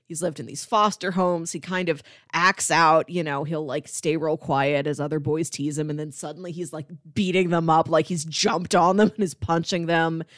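A woman's voice: sits low, steady tone, normal speed, hardly any pauses, dry recording, clean studio sound. A woman co-hosting a film podcast, talking through a movie in casual, conversational style.

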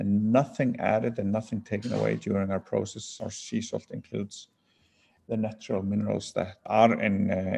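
A man from Iceland answering interview questions as a company founder, speaking haltingly with many fillers and restarts. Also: fairly low pitch, creaky, mellow, slightly rough.

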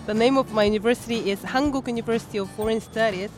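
A woman's voice: a little high, over music. A young woman with a Korean accent, speaking carefully with many hesitations as an interview guest at a busy book fair. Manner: careful, measured speech with frequent hesitations.

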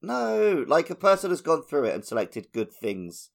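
A man's voice: fairly high pitch, big pitch swings, moderate pace, vocal fry. A man chatting casually as a podcast host, often searching for his words. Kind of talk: casual chat.

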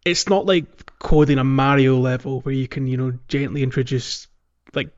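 Muffled man chatting casually about games. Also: mid-range voice. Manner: halting, thinking aloud. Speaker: podcast co-host. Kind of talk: casual video game discussion.

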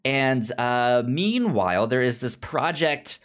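Halting, stammering podcast host chatting casually. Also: medium pitch, animated. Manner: halting, stammering with repeated syllables. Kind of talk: casual book review chat.

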